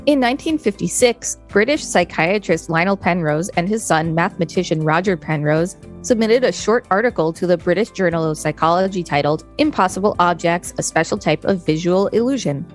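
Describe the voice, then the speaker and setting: A woman's voice: fairly low pitch, animated, a little fast, few pauses, soft background music. A woman co-hosting a podcast, reading encyclopedia text aloud with brief conversational asides. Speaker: podcast co-host.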